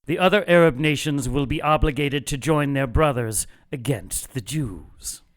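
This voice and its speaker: An actor playing a fanatical cult leader in an audio drama, lecturing in a measured, contemptuous and gloating way. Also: male voice, mid-range voice, lightly acted. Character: fanatical cult leader brother plotting apocalypse, calm and contemptuous. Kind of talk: audio drama villain monologue.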